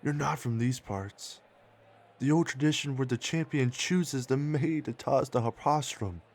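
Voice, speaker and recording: A man playing a wary, world-weary apple merchant in a manga dub, with measured, old-fashioned phrasing. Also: medium pitch, darkish tone. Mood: guarded. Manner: measured, archaic storybook phrasing.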